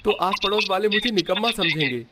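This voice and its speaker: A man's voice: high voice, expressive, brisk, far from the mic, heavy background noise. A man playing a proud, poor husband in a family story, protesting uneasily. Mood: uneasy. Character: proud, poor husband worried about gossip.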